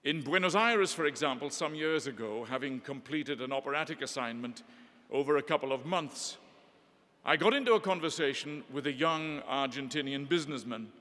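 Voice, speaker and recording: A middle-aged man from Northeast England giving a measured, eloquent formal address with gentle humor, recorded in a large hall with long reverb. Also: mid-range voice. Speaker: ceremony speaker.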